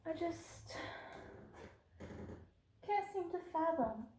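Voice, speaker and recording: A woman's voice: extremely high pitch, lively intonation, very slow, mellow, echoey room, a little hiss or hum, voice pushed up, heavily acted. A woman playing a cruel, haughty evil queen in an ASMR roleplay, imperious and scornful, sometimes slipping into whispers. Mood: scornful, threatening.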